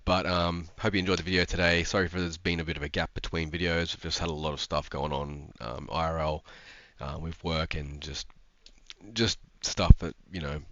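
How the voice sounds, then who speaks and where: Stuffy-nosed young guy, very deep. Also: flat delivery, normal speed, pushed and bright, no echo, highs cut off. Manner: rambling, frequent ums and restarts. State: congested from a cold. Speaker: sim racing YouTuber. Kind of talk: casual commentary to camera.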